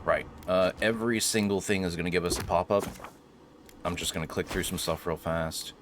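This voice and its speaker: A man's voice: deep voice, brisk. A man hosting a gaming video with casual, playful commentary and dry joking, game noise behind him. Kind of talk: casual let's play commentary with improvised role-play.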